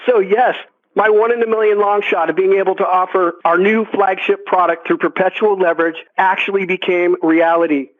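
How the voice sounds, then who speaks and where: A man hosting a sales conference call, pitching earnestly and emphatically to members. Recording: tinny phone conference line.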